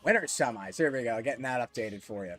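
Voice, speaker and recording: A man giving solo live commentary on a fighting game tournament, excitable and jumping to high shouts at big moments, his voice a little worn, from a small closet. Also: fast talker, faint music behind. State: losing his voice. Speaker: esports commentator.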